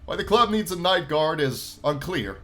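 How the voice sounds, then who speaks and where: Animated higher-voiced man commentating a game. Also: normal speed, noisy background. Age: 20s. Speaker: gaming channel host. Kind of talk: game playthrough commentary, reading story text aloud.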